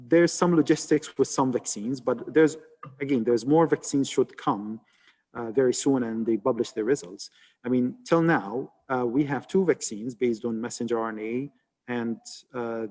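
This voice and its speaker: A man's voice: medium pitch, dark tone, long reverb tail. A man from Egypt who researches malaria vaccines, answering on a webinar panel in an explanatory way with many "uh" and "like" fillers over a video call.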